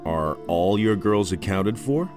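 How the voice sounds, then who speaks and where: An actor playing a blunt, persistent, dry adventurer hero in a video game, terse and questioning. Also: male voice, low voice, lively intonation, unhurried, music in the background. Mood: inquisitive.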